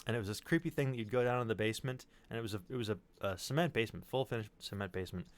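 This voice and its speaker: A man's voice: lowish voice, racing. A man chatting casually and telling personal stories in a rambling way, often correcting himself. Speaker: podcast cast member. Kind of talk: casual chat, personal storytelling.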